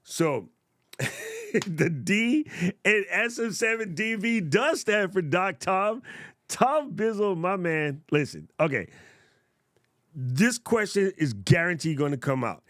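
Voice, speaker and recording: A man hosting a casual livestream, demonstrating gear in a playful, jokey way and chatting with viewers in a naturally loud voice, close on a studio mic. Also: high voice, sing-song, slow, no echo. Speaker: tech reviewer livestream host. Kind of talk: casual livestream product demo. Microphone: studio broadcast mic up close.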